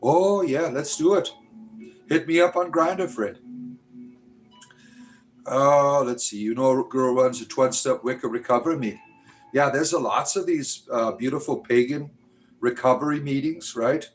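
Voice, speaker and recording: Casual male stream host in his fifties, rambles and jokes, soft music in the background. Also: medium pitch, normal speed, pauses often, low-quality recording. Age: 50s. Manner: rambling, joking, full of "uh" and "right?". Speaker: livestream host. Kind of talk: casual live-chat talk answering viewers.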